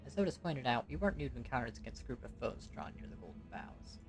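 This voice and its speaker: Very low-voiced woman narrating a story. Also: big pitch swings, quick pace. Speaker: amateur voice actor and narrator. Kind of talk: scripted game story narration. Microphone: home recording. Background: music.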